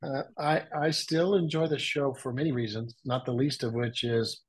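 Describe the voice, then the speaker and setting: A man in his sixties talking casually on a podcast as a guest, in a measured, halting and reflective way. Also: medium pitch, fairly even intonation, a little hiss or hum. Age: 60s. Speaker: podcast guest and father of the host.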